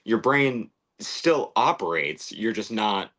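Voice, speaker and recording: A man in his twenties speaking in casual chat, hesitant and full of pauses. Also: fairly low pitch, expressive, a bit bright. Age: 20s. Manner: hesitant, many ums and restarts.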